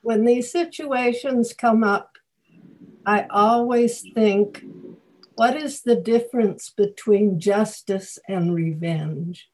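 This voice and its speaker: A woman's voice: mid-range voice, slow, a little mellow, a little hiss or hum, low-quality recording. A woman taking part in a group discussion, speaking casually and reflectively as she asks and answers questions. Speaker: group participant asking questions.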